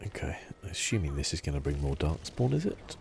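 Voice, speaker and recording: A soft-spoken man giving relaxed, casual ASMR commentary over a video game, musing as he plays. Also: a bass voice, a little fast, gravelly, slight background noise. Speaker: gaming channel host.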